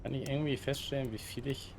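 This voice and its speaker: A man's voice: mid-range voice, level tone, fast talker. A man giving casual live-stream commentary while he plays a video game. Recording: noisy game sounds behind voice.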